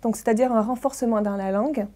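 A woman in her twenties, a master's student, explaining her studies quickly as an interview guest in a studio. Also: fairly high pitch, fairly even intonation, warm tone. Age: 20s. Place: TV studio set.

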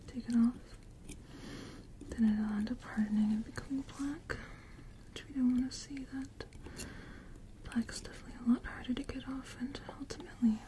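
Soft whispering woman, gentle dental hygienist roleplay. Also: on the high side. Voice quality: breathy, mostly whispered. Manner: gentle, reassuring, unhurried personal attention. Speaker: ASMR performer playing a dental hygienist. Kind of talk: soft-spoken ASMR roleplay.

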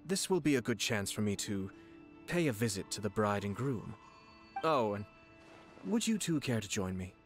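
A poised noble clan head. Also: male voice, on the low side, sing-song, soft background music. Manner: formal, measured and courteous. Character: composed noble young male clan head.

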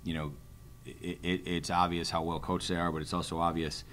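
A middle-aged man, a basketball coach, answering press conference questions in a measured, halting, analytical way. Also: low-pitched, little pitch movement, a bit bright, slight background noise. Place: indoor press conference room, seated at a table. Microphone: tabletop microphone.

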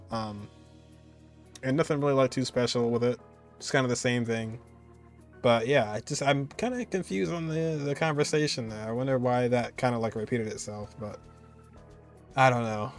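A man's voice: medium pitch, moderate pace. A man, giving informal, conversational reaction commentary. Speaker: reaction video host. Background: music.

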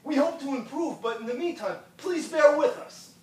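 A man's voice: extremely high pitch, normal speed. An actor playing a dismissive, sarcastic middle-aged lawyer and father on a theater stage. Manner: curt, sarcastic. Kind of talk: stage play acting. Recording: roomy stage sound from a distance.

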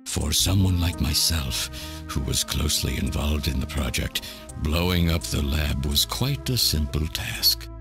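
An extremely low-voiced old mad scientist, bitter and self-justifying. He speaks in deliberate, theatrical monologue, like a lonely video game villain, with music playing underneath. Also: lively intonation, creaky, exaggerated voice. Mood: cold, matter-of-fact. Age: older.

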